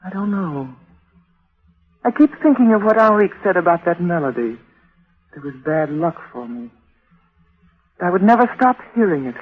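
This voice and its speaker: A woman's voice: on the low side, lively intonation, moderate pace, long pauses, husky. An actress playing a haunted, frightened young woman in anguished, breathless 1940s radio drama style. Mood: troubled. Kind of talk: 1940s radio drama acting, with first-person narration. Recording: tinny band-limited old radio recording, music.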